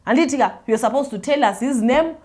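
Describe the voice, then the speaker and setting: A Zimbabwean woman reading a listener's confession aloud and commenting on it, mixing Shona and English in an animated way. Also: sits high, quick pace.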